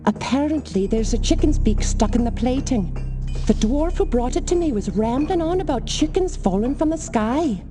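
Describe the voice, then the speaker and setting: A woman's voice: high-pitched, animated, moderate pace, music in the background. A woman playing a bold, flirty female dwarf shield-maker in a cartoon adventure game, coy and suggestive. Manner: coy, suggestive, sugary pet names.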